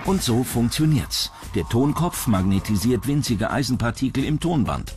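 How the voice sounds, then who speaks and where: A man's voice: mid-range voice, animated, moderate pace, darkish tone. A man with a standard German accent reading scripted documentary narration. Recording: music bed behind narration. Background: music.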